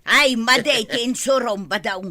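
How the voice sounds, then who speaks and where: An actress playing a teasing, gossipy older village auntie with a Filipino Ilocano accent, full of exclamations, in an audio drama. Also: female voice, a little high, a little slow, sharp tone. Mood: disbelieving.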